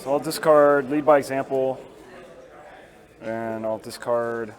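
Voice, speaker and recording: A hoarse-voiced man talking casually as he plays a tabletop wargame. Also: mid-range voice, fairly even intonation, slow pace. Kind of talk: casual game talk. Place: game store during a tournament. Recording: busy game store crowd behind.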